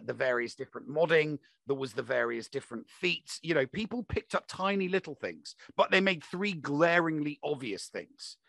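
A man's voice: on the high side, vocal fry, fairly bright tone, dry recording. A man in a casual, opinionated gaming discussion, talking emphatically and repeating himself to drive points home.

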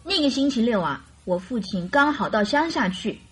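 An actress playing a chatty, naive and carefree young bride in a radio drama, with girlish, animated complaining and coaxing. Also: fairly high pitch, normal speed, breathy edge, exaggerated voice, low-quality recording. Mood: confiding, lively.

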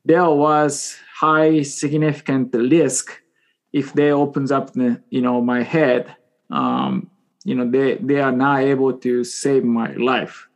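Japanese-accented man telling his story, halting. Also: mid-range voice. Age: 40s. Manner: halting, self-deprecating, joking. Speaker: podcast guest. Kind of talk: casual personal storytelling in an interview.

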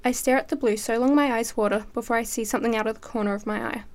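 Teenage female student writer, higher-pitched, dramatic first-person narrative reading. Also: fairly even intonation, quick pace.

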